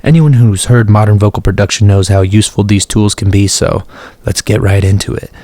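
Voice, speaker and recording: Low-pitched man narrating a tutorial, dry sound. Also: steady tone, a little fast, warm tone, no echo. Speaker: tutorial host.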